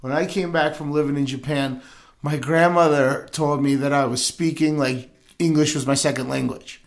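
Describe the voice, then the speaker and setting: Chatty man, slightly high voice. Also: fairly even intonation, normal speed. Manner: chatty, laughs often, rattles off examples. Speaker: podcast co-host. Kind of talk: casual chat.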